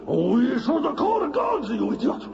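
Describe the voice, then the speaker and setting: An actor playing an excitable, cowardly, pompous alien lord in a radio drama, sputtering theatrically in broken English. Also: male voice, very high voice, measured pace, vocal fry, a loud crowd, full character voice. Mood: frantic, outraged. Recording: muffled old radio drama with loud music.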